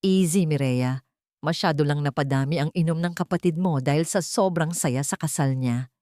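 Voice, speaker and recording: A woman voicing a menacing, condescending old patriarch who speaks in a measured, patronizing way and slips into English, in an audio drama story. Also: sits low, dark tone, no room sound, clean studio sound, natural voice. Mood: falsely pleasant.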